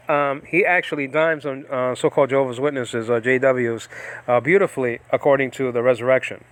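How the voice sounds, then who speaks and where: A man from the United States, hosting an informal Bible study in a halting, rambling way and lifting his voice when he reads scripture aloud. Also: fairly bright tone, no room sound. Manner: rambling, halting, lifts pitch and volume when reading scripture. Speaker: Bible teacher and ministry host. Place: home in the Philippines.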